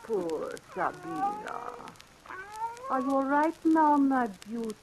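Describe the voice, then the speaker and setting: An actress playing a vain, jealous, possessive Egyptian wife obsessed with cats, speaking imperiously and theatrically in an old radio thriller. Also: female voice, sits high, animated, slow pace, background noise, exaggerated voice. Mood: doting, reverent.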